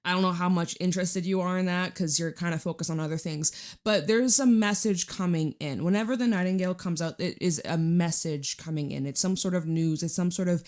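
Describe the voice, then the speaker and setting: A woman hosts a casual tarot reading in a somewhat low register. Her voice is raspy and hoarse, as if recovering from being lost. She talks informally and emphatically, circling back to repeat phrases. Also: brisk, a little mellow. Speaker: tarot reader and channel host.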